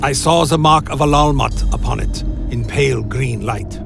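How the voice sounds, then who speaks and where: A man playing a cursed servant of a lich, narrating a dark fantasy radio play in solemn, theatrical first person. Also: medium pitch, normal speed, creaky, over music, natural voice. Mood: solemn, grave. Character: Alaric the Damned, cursed blood-bound male servant of a lich.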